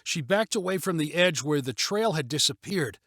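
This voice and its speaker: A man reads a story as scripted audiobook narration. His voice is fairly high and very animated in pitch. The recording is close and dry. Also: normal speed, no room sound.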